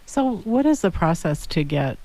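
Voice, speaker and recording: A woman's voice: lowish voice, very animated, normal speed, mellow, low-quality recording. A woman co-hosting a real estate radio talk show, putting follow-up questions to a guest.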